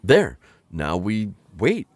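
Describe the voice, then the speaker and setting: A man's voice: fairly low pitch, wildly swooping pitch, takes it slowly, no echo, heavily acted. A man voicing a formal, awkward insectoid alien bounty hunter in an ASMR roleplay, talking in a hesitant, stammering way. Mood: earnest.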